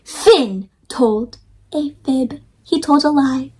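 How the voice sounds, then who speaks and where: A woman hosting an interactive read-aloud for young children, speaking expressively and putting questions to her listeners. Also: sits high. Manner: animated, expressive, asks listeners questions with pauses.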